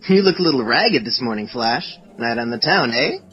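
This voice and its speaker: A man's voice: mid-range voice, expressive, normal speed, dull audio, pitched above the performer's own voice, close to an everyday voice. A man voicing a cocky, joking pegasus royal guard who teases and grumbles, in a fan fiction reading. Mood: teasing.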